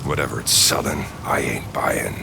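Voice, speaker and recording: A man voicing a big, cantankerous non-human brute in a video game, gruff, gravelly, sarcastic and bitter toward humans. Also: a bass voice, moderate pace, background noise, full character voice. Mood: dry, wary. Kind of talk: video game cinematic acting.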